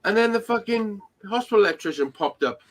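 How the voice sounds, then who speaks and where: A man's voice: high-pitched, expressive, fast, crowd noise, low-quality recording. A man in his forties, swearing and teasing his co-hosts in casual banter on a live stream. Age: 40s. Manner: crude, teasing, swears freely. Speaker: panel regular.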